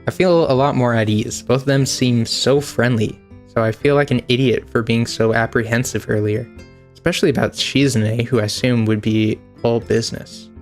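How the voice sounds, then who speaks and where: A young man reads a visual novel aloud on a stream, relaxed and easygoing. His voice sits at an ordinary middle pitch, with casual asides between lines. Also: moderate pace, soft background music.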